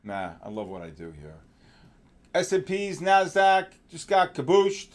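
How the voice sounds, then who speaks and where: A man's voice: fairly high pitch, big pitch swings. A middle-aged man from New York with a New York accent, hosting a live trading stream in casual, rambling, jokey chat and calling out viewers by name. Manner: drawn-out announcer-style calls. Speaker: trading livestream host.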